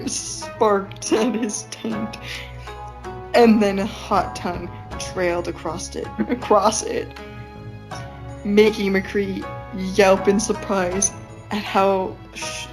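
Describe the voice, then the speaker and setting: A woman podcast host reading fan fiction aloud in a casual way, breaking off to add informal comments. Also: medium pitch.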